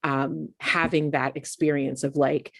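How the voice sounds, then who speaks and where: A woman's voice: sits low, flat delivery, unhurried, vocal fry, no room sound. A woman in her late forties hosting a podcast interview, chatting casually and backing up her guest with frequent agreement. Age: late 40s. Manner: warm backchanneling, laughs often. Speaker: podcast host.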